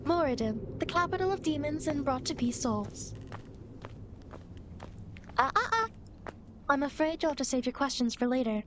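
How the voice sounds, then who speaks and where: High-pitched teasing demon girl, acted by a woman. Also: lively intonation, normal speed, pauses often, slight background noise. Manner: theatrical, teasing, mock-grand phrasing. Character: playful teasing demon girl, smug guide of the afterlife. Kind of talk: ASMR roleplay, acted monologue to the listener.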